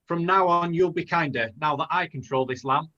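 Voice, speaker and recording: A man's voice: a little high, fairly quick, bright, forceful tone. A man playing an earnest young pantomime hero, declaiming rhymed lines over a video call. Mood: determined. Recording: dull lo-fi video call audio.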